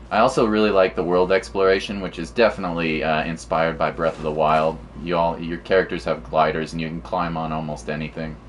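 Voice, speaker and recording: A man's voice: sits low, slight background noise. A man giving casual live commentary on a video game as a streamer, talking in an informal, rambling way. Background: game music.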